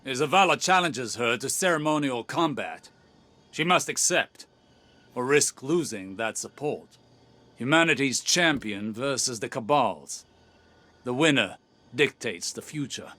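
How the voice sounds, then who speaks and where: An actor playing a shrewd adviser and strategist in a sci-fi game, with a measured, persuasive manner. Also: male voice, mid-range voice, animated, sharp tone. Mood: calculating. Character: shrewd, calculating male elder strategist adviser. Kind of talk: dramatic sci-fi game voice acting.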